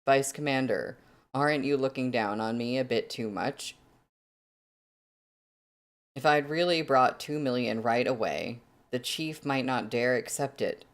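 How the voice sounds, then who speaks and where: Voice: mid-range voice, unhurried, close to an everyday voice. A reader voicing a composed, shrewd young man negotiating, in a novel read aloud. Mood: calm, calculating.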